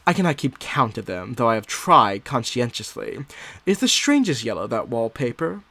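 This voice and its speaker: A man playing an unsettled, secretive nineteenth-century woman diarist growing obsessed, in a theatrical, confiding dramatic monologue with drawn-out emphasis. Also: medium pitch, sing-song, creaky, pushed and bright, no room sound, heavily acted. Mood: excited, confiding. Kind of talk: dramatic first-person monologue, audio theatre.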